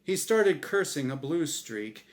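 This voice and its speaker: A man playing a smug, high-pitched showman angel. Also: very animated, slow. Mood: smug, jovial. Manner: theatrical, emphatic game show patter. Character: smug, showy male angel game show host. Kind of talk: storybook character voice in dramatic reading.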